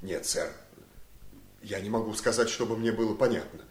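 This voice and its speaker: An actor in a radio drama playing a simple, stubborn servant, deferential but puzzled and literal-minded. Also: male voice, fairly low pitch, normal speed, echoey room, close to an everyday voice. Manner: deferential yet puzzled and argumentative. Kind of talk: Soviet radio drama acting.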